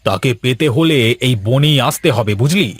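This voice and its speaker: A bossy, impatient friend in a fairy-tale cartoon, acted by a man. He hushes and hurries his companion along in a mid-range voice with a dry sound. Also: medium pitch, moderate pace, no echo, lightly acted. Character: brisk, bossy friend guiding through the jungle.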